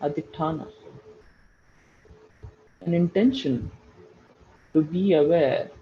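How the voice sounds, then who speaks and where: A man leading a guided meditation, giving slow, soothing instructions and repeating short phrases for emphasis. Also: a little high, lo-fi sound, slight background noise. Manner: repeats phrases for emphasis, addresses listeners as friends.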